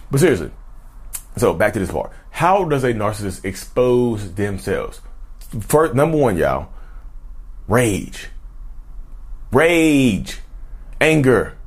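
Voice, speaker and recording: Guy ranting to his viewers, all over the place pitch-wise, sidebars everywhere. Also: husky. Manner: chatty, keeps addressing viewers as y'all; repeats and restarts, drifts into sidebars. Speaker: YouTuber talking about narcissism. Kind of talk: casual direct-to-camera talk.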